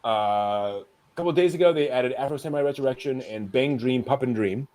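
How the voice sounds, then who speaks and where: A man's voice: mid-range voice, brisk, a little mellow. A man hosting a casual discussion of entertainment news, talking informally to others. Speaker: host.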